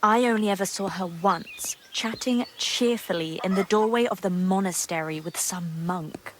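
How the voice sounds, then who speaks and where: Casting: actress as gossipy young medieval servant woman, secretive, earthy, naturalistic game delivery, mid pitch, some background ambience. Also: moderate pace, lightly acted. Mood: confiding. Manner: guarded, secretive, earthy turns of phrase. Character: gossipy, guarded young monastery maid in medieval Bohemia. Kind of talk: naturalistic video game drama acting. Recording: clear background ambient noise.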